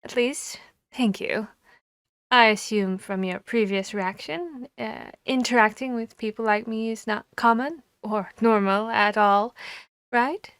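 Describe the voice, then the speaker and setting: A woman voicing a harpy seeking a human mate, commanding yet courteous and bashful, speaking formally and deliberately with hesitant pauses in an ASMR roleplay. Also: fairly high pitch, a bit bright, smooth, no room sound, studio quality. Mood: curious, polite.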